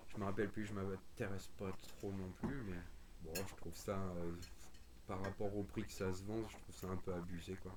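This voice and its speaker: A man's voice: deep voice, fairly even intonation, moderate pace, noisy background. A man chatting casually and bluntly in informal, opinionated slang. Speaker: radio hobbyist chatting on a group call stream. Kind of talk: casual chat.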